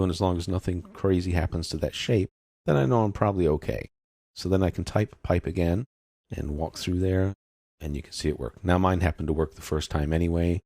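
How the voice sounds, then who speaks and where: Deep-voiced man giving a software tutorial. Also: breathy edge, dry recording, clean studio sound. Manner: informal, explanatory. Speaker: software instructor. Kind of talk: step-by-step screen tutorial narration.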